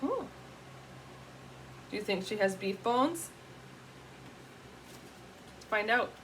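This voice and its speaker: A woman reading a picture book aloud in an animated, teacherly way, pausing to put questions to young listeners. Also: mid-range voice, a little hiss or hum, low-quality recording.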